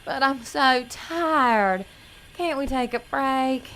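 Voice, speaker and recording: An actress playing a sweet, nervous, dim young pageant contestant in an audio drama, apologetic and naive. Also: female voice, sits high, slow, a bit bright, exaggerated voice, rough recording. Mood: flustered, tearful.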